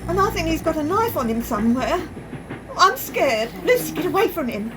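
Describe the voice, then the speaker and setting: A woman's voice: big pitch swings, moderate pace. A woman playing a frightened, defensive working-class train passenger in a radio play, speaking bluntly and colloquially. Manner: colloquial, non-standard grammar, blunt. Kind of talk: amateur radio play acting. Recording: noisy, train carriage rumble behind.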